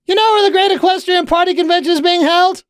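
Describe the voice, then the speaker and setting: A man voicing an excitable, bubbly young pony mare who squeals eagerly. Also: very high-pitched, fast talker, dry recording, clean studio sound, pitched above the performer's own voice. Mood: excited.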